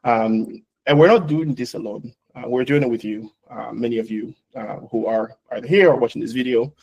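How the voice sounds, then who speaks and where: A founder addresses his audience in an earnest, halting way, leaning on fillers as he goes. His voice sits at an ordinary middle pitch for a man. He sounds like he is speaking to a live event crowd and an online audience. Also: creaky, darkish tone, husky, poor audio. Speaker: founder and CEO, panel moderator. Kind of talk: launch speech and moderating.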